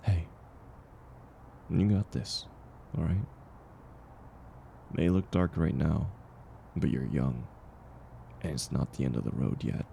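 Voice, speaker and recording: A man playing a kind, world-weary drifter in an audio roleplay, talking gently and unhurriedly to encourage a stranger. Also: a bass voice, expressive, takes it slowly, gravelly, long pauses, a little hiss or hum, heavily acted. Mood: warm, encouraging. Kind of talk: intimate comfort audio roleplay.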